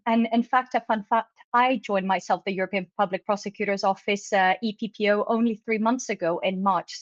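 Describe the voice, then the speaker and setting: A woman's voice: medium pitch, dry recording, muffled recording. A woman from Luxembourg working as an HR recruiter, speaking on an online panel in a friendly, fluent way with frequent fillers. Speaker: HR recruitment officer, webinar panelist. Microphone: video call.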